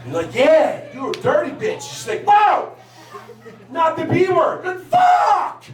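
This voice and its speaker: A man in his forties doing a crude stand-up set, profane and brash, shouting at the crowd. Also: very high-pitched, huge pitch swings, slow. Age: 40s. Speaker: stand-up comedian. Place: large hall at a live show. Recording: echoey hall recorded from a distance with crowd noise. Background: laughing crowd.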